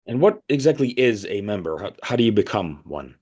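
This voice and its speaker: Curious, stammering interviewer, lively delivery. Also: male voice, lowish voice, fairly quick, husky. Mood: inquisitive, flustered. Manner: hesitant, stammering, inquisitive. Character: curious, flustered male interviewer visiting a strange commune. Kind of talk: audio play acting.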